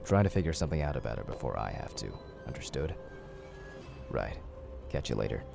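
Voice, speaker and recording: A man playing a secretive, scheming character in an audio drama, with a gravelly voice and a casual, evasive, theatrical manner. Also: extremely low pitch, sing-song, fairly quick, exaggerated voice. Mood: furtive, scheming. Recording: long hall reverb under music.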